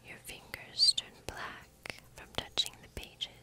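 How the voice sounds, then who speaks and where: Slow whispering young woman as a kind witch. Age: young adult. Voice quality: breathy, unvoiced whisper. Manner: slow, soft, deliberate storytelling as a kind witch. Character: kind, mysterious forest witch healer. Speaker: ASMR roleplay performer. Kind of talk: whispered ASMR fantasy roleplay. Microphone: close microphone.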